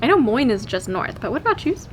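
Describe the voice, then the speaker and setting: A woman's voice: fairly high pitch, fast talker, slight background noise. Chatty young American woman vlogger. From California. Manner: chatty, giggly, lively storytelling. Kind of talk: casual chat to camera.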